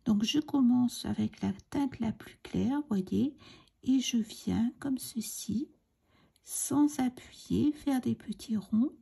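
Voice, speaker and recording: A middle-aged woman with a cold, hoarse and breathy, chatting hesitantly and often half-whispering as she explains a crafting tutorial. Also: a little high, slow, warm tone. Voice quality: raspy, breathy, gravelly. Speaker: card-making tutorial host.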